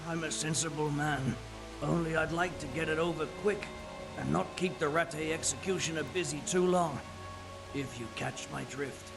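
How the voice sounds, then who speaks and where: An actor playing a resigned, cynical captured mercenary in a video game drama, wry and matter-of-fact, with a gravelly voice. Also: male voice, on the high side, normal speed, over music, low-quality recording.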